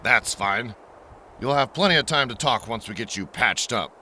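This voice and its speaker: Commanding post-apocalyptic officer male actor: forceful, bright mid-range voice, brisk and wary, faint noise behind. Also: medium pitch, animated. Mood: stern, commanding. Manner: brisk, authoritative, giving orders. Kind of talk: audio drama acting.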